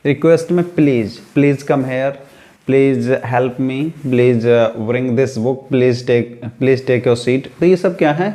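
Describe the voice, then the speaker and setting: Male Indian teacher, very unhurried delivery, mid-pitched, explaining grammar didactically with repeated phrases and rhetorical questions. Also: warm tone. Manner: didactic, repeats phrases and asks himself questions then answers. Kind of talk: classroom grammar lesson, explaining step by step.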